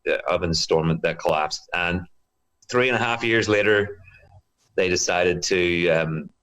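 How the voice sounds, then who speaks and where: A man's voice: low-pitched, unhurried, a bit bright, clean tone, poor audio. A man from Northern Ireland with a Northern Irish accent, answering as an interview guest in a casual, thoughtful, rambling way. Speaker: guest, singer-songwriter.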